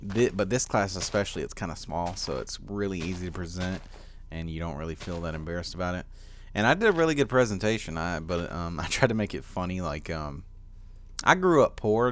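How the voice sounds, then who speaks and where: A teenage boy with a deep voice giving casual gameplay commentary, rambling with lots of fillers and easy laughs. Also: a little hiss or hum. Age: teens. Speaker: gaming commentator.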